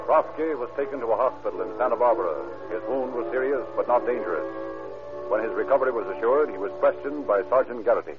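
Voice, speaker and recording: An actor narrating a crime story with brisk authority, in the scripted manner of 1940s radio. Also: male voice, medium pitch, close to an everyday voice. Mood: brisk, grave. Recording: tinny old radio recording. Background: music.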